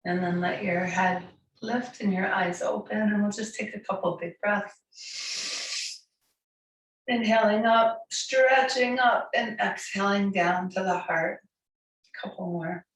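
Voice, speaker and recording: A hoarse woman in her forties with a raspy voice from a cold, gently guiding a yoga class with soft, soothing instructions. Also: mid-range voice, steady tone. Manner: slow, soothing, softly encouraging. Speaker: yoga teacher. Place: bright home studio room with large windows. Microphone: camera across the room. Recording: echoey room, voice from far off.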